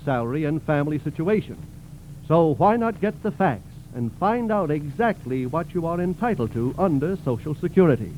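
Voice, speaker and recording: A man reading a sponsor's message as a 1940s radio announcer, formal, deliberate and persuasive. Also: a little high, warm tone. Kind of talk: 1940s radio advertising read. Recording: noisy vintage 1940s radio broadcast.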